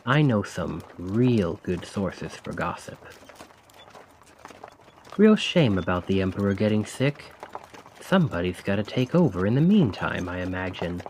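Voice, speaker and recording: A man's voice: low-pitched, very animated, a little slow, a little mellow, a little hiss or hum. A man playing a chatty, cheeky stand-in carriage driver in an audio roleplay, talking casually and awkwardly to the listener with sly insinuation. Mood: sly, insinuating. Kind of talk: ASMR roleplay acting, addressing the listener.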